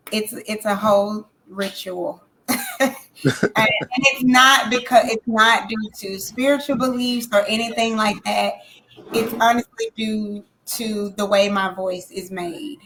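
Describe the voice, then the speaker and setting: A woman singer talks casually in an interview. She is emphatic and animated and repeats phrases for effect. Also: mid-range voice, a little hiss or hum, poor audio. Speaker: singer guest.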